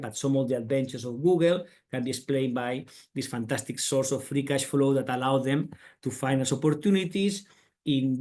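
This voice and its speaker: A middle-aged man with a Spanish accent giving a webinar lecture as a business school professor, peppering his talk with "right?" and calling things very cool. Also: medium pitch. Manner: tag questions and "right?" fillers, enthusiastic. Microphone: computer mic on a video call.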